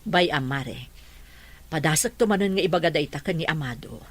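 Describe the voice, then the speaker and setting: Fretful filipino mom in a radio drama, easily alarmed, pitch all over. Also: female voice, animated, moderate pace. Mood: troubled. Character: worried, easily alarmed mother of a wayward daughter. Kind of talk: Ilocano radio drama acting.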